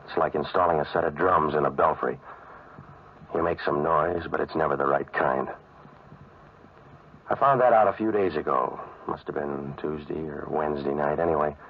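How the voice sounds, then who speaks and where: An American actor playing a cynical waterfront odd-job man in a 1940s radio noir, delivering sardonic, deadpan wisecracks and narration. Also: extremely low pitch, husky, full character voice. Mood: wry, world-weary. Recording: muffled, noisy old radio recording.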